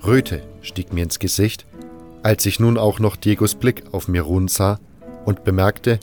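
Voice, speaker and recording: A man reading a scripted first-person fantasy story as narrator, in a measured, literary delivery. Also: fairly low pitch, steady tone, darkish tone, faint music behind. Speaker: narrator of a fan audio drama.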